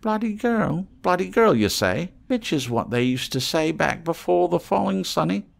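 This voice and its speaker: A man voicing an eccentric old tramp trader in an audiobook, wheedling in excitable, salty, rambling patter. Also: a little high, normal speed, vocal fry, voice pushed up, exaggerated voice. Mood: indignant, excitable.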